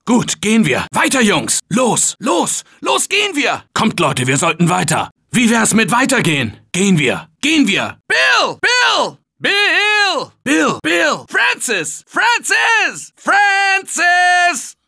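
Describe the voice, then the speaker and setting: A man voicing an upbeat office-worker survivor in a video game dub, shouting calls and encouragement to his teammates in an excitable manner. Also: extremely high pitch, slow, creaky, hardly any pauses, slightly rough, heavily acted. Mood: exasperated. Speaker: game character. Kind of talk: German-dubbed video game voice lines.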